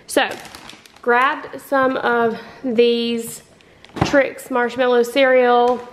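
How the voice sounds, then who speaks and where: A woman, chatting excitedly to viewers in a casual haul vlog. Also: a little high. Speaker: vlogger. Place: home kitchen and store aisles.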